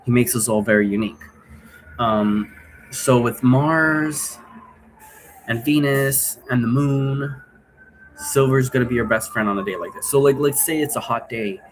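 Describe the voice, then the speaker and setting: A man's voice: medium pitch, low-quality recording. Man explaining casually over background noise. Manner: rambling, checks understanding with "right?". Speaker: teacher explaining occult role-play rules. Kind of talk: casual unscripted explanatory talk.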